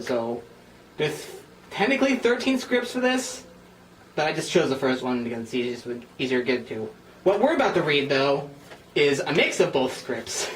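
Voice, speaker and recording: A man hosting a script reading with friends, chatting casually and self-mockingly between stage directions. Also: on the high side. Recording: roomy, distant mic with faint noise.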